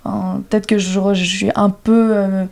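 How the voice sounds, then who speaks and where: A young woman with a mellow, warm voice speaks in a casual conversation. She talks hesitantly, often pausing to search for the right words. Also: medium pitch, level tone, vocal fry. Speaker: younger guest.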